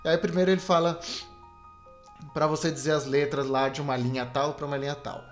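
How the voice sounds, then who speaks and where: A man's voice: on the high side, steady tone, brisk. A young adult man with a Brazilian Portuguese accent rambles casually as a solo podcast host, slangy and self-deprecating. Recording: video game music behind the voice. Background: Super Nintendo game music.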